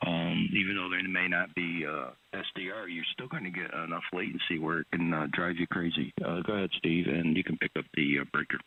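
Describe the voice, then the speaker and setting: Low-voiced man over a tinny radio. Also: steady tone, brisk, hardly any pauses. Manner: technical, hesitant, clears throat. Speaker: ham radio operator. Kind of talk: casual radio chat.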